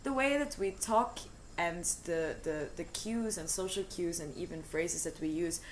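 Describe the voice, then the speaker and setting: Low-voiced woman musing on a live stream. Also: unhurried, slight background noise. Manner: thoughtful, explains with many fillers, laughs easily. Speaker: co-host of a language stream. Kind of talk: casual discussion on a live stream. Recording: music playing behind the talk.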